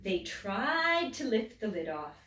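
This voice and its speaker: A woman teacher reading a children's story aloud, expressive, dropping to whispers and rising to loud exclaims. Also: medium pitch, takes it slowly, slight background noise. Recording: echoey room, distant mic.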